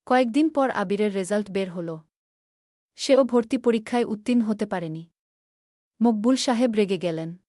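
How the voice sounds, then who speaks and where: A woman narrates a scripted story. Her voice is warm and dark, sitting at a medium pitch. It is recorded dry and close. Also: moderate pace, long pauses, no echo.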